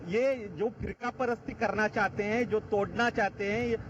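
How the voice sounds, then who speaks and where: A man's voice: high voice, steady tone, moderate pace. A man giving his view in a street interview. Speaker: member of the public. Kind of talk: street vox pop. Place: outdoors on a city street. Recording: dull, noisy street with traffic.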